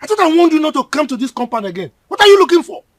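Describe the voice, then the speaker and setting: An actor playing a protective uncle who scolds loudly and stammers when angry. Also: male voice, high-pitched, sing-song, moderate pace, breathy edge, no room sound, studio quality, heavily acted. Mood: mocking, angry.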